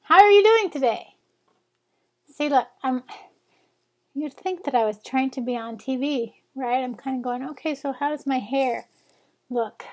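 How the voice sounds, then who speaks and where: A woman's voice: sits high, a little slow, pauses often, a little mellow. A woman in her forties, stuffed up from a cold, chatting in a rambling, self-correcting way as she talks to her blog readers. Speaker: blogger. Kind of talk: casual vlog chat.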